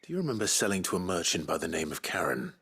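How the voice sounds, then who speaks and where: A man playing a gruff, terse but courteous swordsman in a video game, with a low gravelly voice. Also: very animated, quick pace, fairly bright tone, full character voice. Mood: calm, terse. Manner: terse, understated. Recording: game music under the voice.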